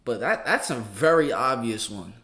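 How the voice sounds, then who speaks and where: A man's voice: medium pitch, animated, moderate pace, sharp tone. An American man talking casually in street slang, giving reaction commentary as a channel host. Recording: echoey room.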